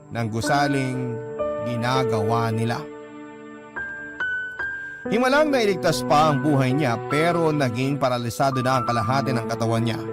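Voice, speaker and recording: A man with a Filipino accent narrating a scripted story in a dramatic radio style. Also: medium pitch. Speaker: narrator reading a letter sender's story. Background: loud instrumental music.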